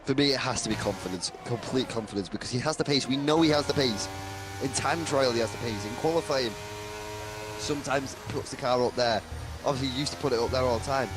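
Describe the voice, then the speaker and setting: Measured male racing co-commentator. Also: mid-range voice, music in the background. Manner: analytical, measured explanations. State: dry throat, losing voice. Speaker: co-commentator and driver. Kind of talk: sim racing colour commentary.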